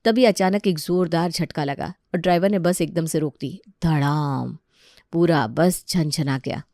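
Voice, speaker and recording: A woman's voice: low-pitched, unhurried, warm tone, no room sound, studio quality. A woman reading scripted narration of a comic family story as its narrator.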